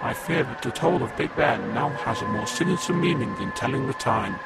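A man playing a friendly Cyberman ally who speaks in a measured, formal way in a fan audio drama. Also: mid-range voice, expressive, loud music behind the voice, natural voice.

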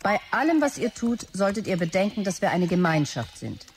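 An actress playing a brisk, stressed, commanding start-up boss in a German audio drama, dictating messages curtly. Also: female voice, mid-range voice, big pitch swings, faint music behind. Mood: stern, solemn. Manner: curt, businesslike dictation of messages.

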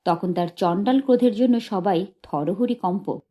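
A woman reading a memoir as an audiobook narrator, in a measured, literary storytelling delivery. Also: mid-range voice, warm tone.

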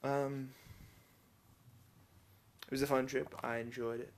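A tired young man rambling in a low-key way to a webcam from his bedroom, recording a casual video diary. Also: level tone, slow pace, a little hiss or hum. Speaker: vlogger. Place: bedroom at home.

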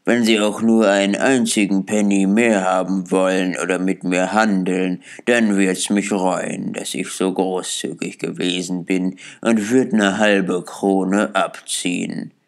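Shrewd old junk dealer, low-voiced and grumbling, as read by a man. He haggles slowly and deliberately, with a put-on generosity. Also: flat delivery. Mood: haggling. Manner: slow, grumbling, mock-generous.

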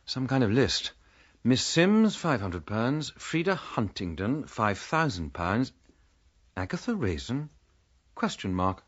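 An actor playing a fussy, sarcastic middle-aged English ex-military gentleman in a radio drama, with a dryly polite manner. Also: medium pitch, sing-song, takes it slowly, slightly rough, dull audio, heavily acted. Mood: puzzled, intrigued. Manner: dry, sarcastic, fussily polite.

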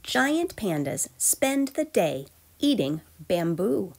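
A woman reading a children's nonfiction book aloud as storyteller, expressive and addressing young listeners directly. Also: medium pitch, takes it slowly, vocal fry, background noise. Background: background music.

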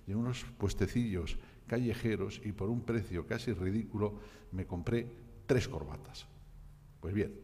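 Chatty, low-voiced older presenter. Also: male voice, warm tone, big-room sound. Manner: chatty, anecdotal, self-deprecating. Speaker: event presenter. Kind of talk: introducing a guest speaker. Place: conference hall at a panel table. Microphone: table microphone.

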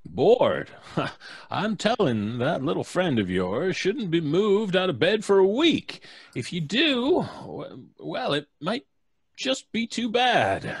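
A man playing a folksy, unhurried small-town doctor, halting and soothing, in old-time radio drama style. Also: high-pitched, big pitch swings, slightly rough, no echo, clean studio sound, full character voice.

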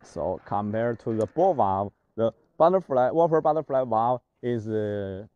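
A man's voice: mid-range voice, lively intonation, a little slow, muffled recording. A young man who is not an English speaker, guiding a tour of a factory warehouse in English, hesitant and full of "uh" fillers and restarts. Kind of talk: walking factory tour presentation. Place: large indoor factory warehouse.

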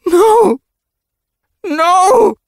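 A man's voice: very high voice, lively intonation, very slow, no room sound, clean studio sound, pitched above the performer's own voice, heavily acted. A man voicing a grieving, bewildered mother cat with a shaking, anguished delivery in an animal story.

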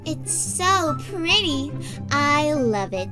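A woman voicing a sweet, curious little girl in a children's puppet show, gentle and a bit hesitant. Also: high-pitched, sing-song, drawn-out words, exaggerated voice. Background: children's music.

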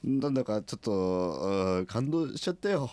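An actor playing a good-natured, self-deprecating company president who loves lame puns, stammering and chuckling in a radio drama. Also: male voice, fairly low pitch, lively intonation, normal speed, a little mellow, lightly acted. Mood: touched, chuckling.

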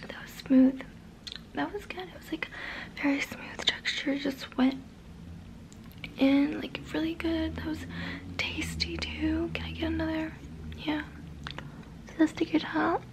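A woman in her twenties doing a whispered ASMR roleplay, breathy and very close to the mic, softly repeating words. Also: sits high, little pitch movement, noisy background. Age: 20s. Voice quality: breathy, mostly unvoiced whisper. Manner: soft, halting, repeats words slowly. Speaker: ASMR creator. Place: small bedroom, indoors. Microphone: very close to the microphone.

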